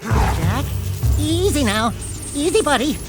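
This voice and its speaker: A small, brash animal sidekick for a video game. The voice is very high, squawky and excitable, swooping all over as he cracks wise. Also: slow pace, over loud music, heavily acted. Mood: cocky, coaxing. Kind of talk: comic video game character acting.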